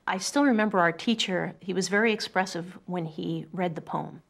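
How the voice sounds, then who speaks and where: A woman's voice: lowish voice, normal speed, gravelly. A middle-aged woman speaking in an earnest, thoughtful way, reflective as she shares personal words or poetry. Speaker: poetry reader telling family memories. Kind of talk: reflective personal storytelling and poem reading. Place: quiet furnished room indoors.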